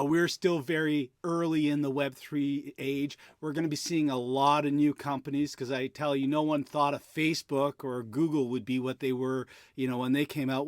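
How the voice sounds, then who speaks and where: A man's voice: mid-range voice, no echo, clean studio sound. A man hosting a podcast, chatting and interviewing in a casual conversational style. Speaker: podcast host.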